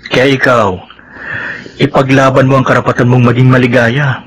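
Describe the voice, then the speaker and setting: A man's voice: medium pitch, little pitch movement, normal speed, natural voice, poor audio. Loyal Filipino buddy man acting, faint noise. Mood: earnest, advising. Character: loyal, married male friend giving firm advice. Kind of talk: Filipino radio drama acting.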